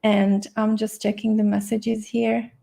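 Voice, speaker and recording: A middle-aged woman with a Czech accent hosts a live-streamed health workshop. Her pitch sits in the middle and stays fairly level. She talks in a friendly way, often pausing on fillers and starting sentences over. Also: level tone, gravelly, dark tone. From Czech Republic. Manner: friendly, many fillers and restarts, occasional laughs. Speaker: doctor and health coach hosting an online masterclass. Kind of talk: live-streamed teaching session.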